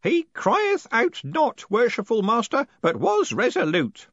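A man voicing an elderly, deferential postman in an audiobook, eager and conspiratorial, with a hoarse edge. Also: high-pitched, wildly swooping pitch, moderate pace, a bit bright, dry recording, dull audio, voice pushed up, exaggerated voice. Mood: proud, ceremonial. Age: older. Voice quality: hoarse whispering. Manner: servile, punctuating speech with "sir".

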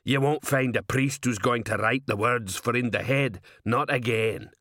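A man's voice: medium pitch, moderate pace, a bit bright, no echo, clean studio sound, natural voice. A man voicing a cowed, shifty troll craftsman in a storybook, muttering grudgingly in broken grammar.

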